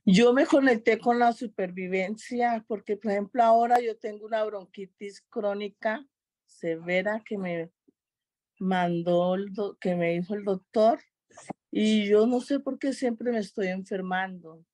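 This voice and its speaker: A woman's voice: mid-range voice, measured pace, mellow, dry recording. A woman in a reading group earnestly sharing a personal experience. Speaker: reading club participant.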